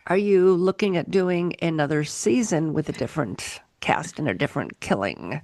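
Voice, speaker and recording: A woman hosting a casual interview, asking questions in an enthusiastic way with halting restarts. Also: deep voice, animated, a little mellow, no echo, studio quality. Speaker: podcast host, interviewer.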